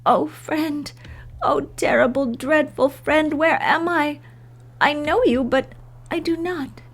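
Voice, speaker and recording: A woman's voice: sits high, takes it slowly, pitched above the performer's own voice. A woman voicing a frail, confused young woman who is trembling and pleading, in a storybook reading. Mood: distraught. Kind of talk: audiobook character voice.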